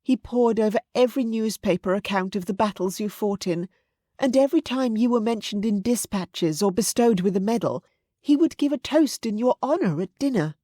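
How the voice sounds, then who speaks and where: A woman's voice: mid-range voice, expressive, normal speed, mellow, no echo, clean studio sound, voice pushed up, close to an everyday voice. A woman voicing an older aristocratic widowed mother, fond, chatty and assured, in an audiobook story.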